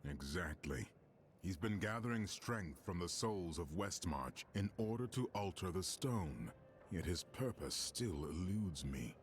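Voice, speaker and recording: An ancient archangel, very deep voice, slow and weighty, solemn game dialogue. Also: male voice, animated, slight background noise. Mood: grave. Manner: grave, measured, weighty delivery. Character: ancient solemn male archangel, grave and wise.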